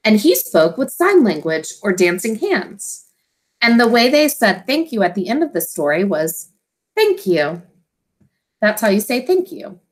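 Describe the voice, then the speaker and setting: A woman teacher reading a children's storybook aloud, expressively and in simple, deliberate phrases for young listeners. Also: medium pitch, sing-song.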